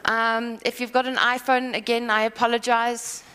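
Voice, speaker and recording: A woman giving a live conference talk, rambling and self-deprecating with quick asides and apologies. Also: a little high, level tone, pushed and bright, slight background noise. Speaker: conference speaker. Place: conference hall. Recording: hall reverb.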